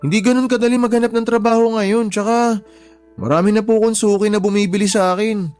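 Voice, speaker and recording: A man's voice: sits high, steady tone, fast, darkish tone, natural voice. A man playing a humble young shop worker in a radio drama, anxious and respectful, pleading politely in distress. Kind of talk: Tagalog radio drama acting. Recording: background music under the voice.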